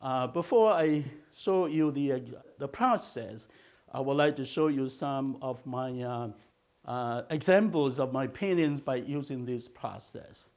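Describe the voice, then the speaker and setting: An older man from China, raised in Malaysia, teaching a watercolor technique in a slow, halting way with many filler sounds. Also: mid-range voice, darkish tone. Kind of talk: instructional video talk.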